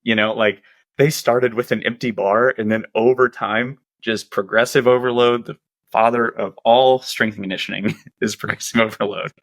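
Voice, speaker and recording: A man's voice: mid-range voice, steady tone, normal speed, fairly bright tone, no room sound. A man, a strength and conditioning coach, speaking casually as a podcast guest, laughing often and talking loosely. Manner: laid-back, laughs often, loose filler-heavy talk.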